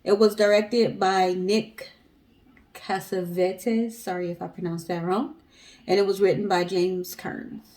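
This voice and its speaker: A woman's voice: mid-range voice, flat delivery, unhurried, darkish tone. A woman with an American accent co-hosting a storytelling show, talking casually to viewers and recounting stories. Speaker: co-host of a storytelling guessing show.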